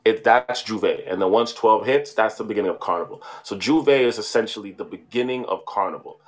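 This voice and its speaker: A man's voice: lowish voice, fairly quick. A man co-hosting a casual chat, explaining at length and often adding "right?". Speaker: podcast co-host.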